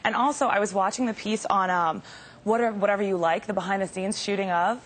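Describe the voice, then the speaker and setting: Brisk woman host, friendly interviewer who laughs easily, medium pitch. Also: steady tone, breathy edge. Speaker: web show host. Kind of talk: hosted interview.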